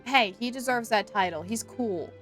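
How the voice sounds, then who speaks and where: A woman's voice: fairly high pitch, moderate pace, fairly bright tone, faint music behind. A young woman livestreaming a video game, chatting casually in a playful, giggly, exclamatory way. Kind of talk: casual gaming stream commentary and chat.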